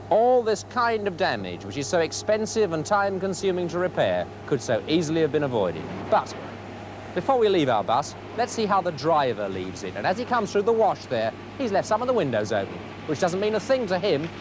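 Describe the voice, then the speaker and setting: British male film presenter, lively intonation. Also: fairly high pitch, background noise. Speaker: presenter and interviewer. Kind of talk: scripted training film narration and interviewing.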